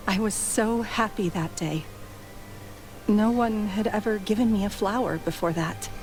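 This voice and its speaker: An actress playing a gentle grieving widow who talks in a soft, slow, wistful way, in a video game. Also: female voice, mid-range voice, background noise. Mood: fond. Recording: game audio with loud music.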